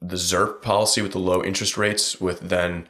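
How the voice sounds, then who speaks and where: A man's voice: deep voice, little pitch movement, normal speed, a bit bright. A young man co-hosting a podcast, chatting casually and explaining with loose restarts, close to a studio mic in a small room. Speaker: podcast co-host. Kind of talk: casual chat. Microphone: studio mic on stand, close.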